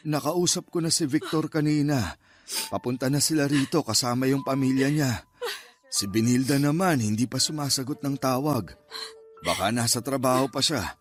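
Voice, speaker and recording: An actor playing a steady elderly grandfather with a measured, consoling way of talking, in a Filipino-accented Tagalog radio drama. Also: mid-range voice, soft background music, natural voice. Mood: resolute, gentle.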